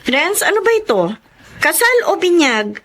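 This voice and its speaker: A woman's voice: very high-pitched, sing-song, moderate pace, fairly bright tone, exaggerated voice. A woman playing a hardworking, self-sacrificing young elder sister in a radio drama. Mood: upbeat, practical. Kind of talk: Tagalog radio drama acting.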